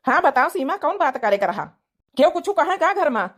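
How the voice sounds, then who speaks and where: A woman's voice: sits high, sing-song, a little fast. A woman voicing an affectionate, easygoing young husband in an Awadhi-accented comedy cartoon.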